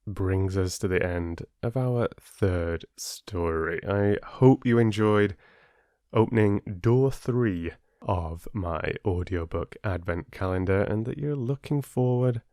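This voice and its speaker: A man reading aloud as an audiobook host, with an expressive, measured storytelling manner. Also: on the low side, dry recording, studio quality. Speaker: audiobook reader and channel host. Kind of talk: children's story narration.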